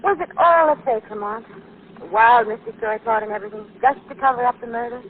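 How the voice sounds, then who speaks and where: A woman's voice: a little high, fast, lightly acted. Woman playing earnest lady sleuth, tinny old radio. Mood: curious, relieved. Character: earnest, dramatic young lady sleuth, brave but alarmed. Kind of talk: 1940s radio drama acting. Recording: tinny, hissy old 1940s radio recording.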